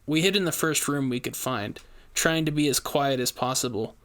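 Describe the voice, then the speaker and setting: A man's voice: mid-range voice, moderate pace, a bit bright. A man giving a scripted first-person narration of a horror story, as its narrator.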